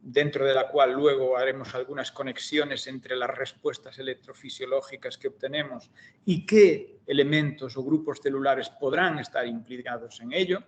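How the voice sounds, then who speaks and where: A middle-aged man from Galicia with a Galician Spanish accent, giving an online seminar as guest lecturer in a measured, hesitant way with frequent fillers and restarts. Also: medium pitch, highs cut off. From Galicia, Spain. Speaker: guest lecturer, optometry researcher. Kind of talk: academic seminar talk.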